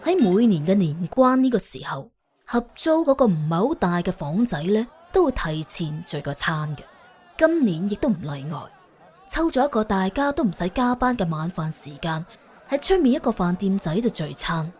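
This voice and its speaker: A woman narrating an audiobook in an animated, colloquial storytelling style with a wry, expressive manner. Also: mid-range voice, moderate pace.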